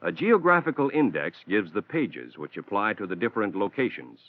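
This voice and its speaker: An American man reading a scripted instructional narration for a training film in a measured, formal, explanatory manner, on a 1960s film soundtrack. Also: lowish voice, big pitch swings, dry recording. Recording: dull, band-limited 1960s film soundtrack.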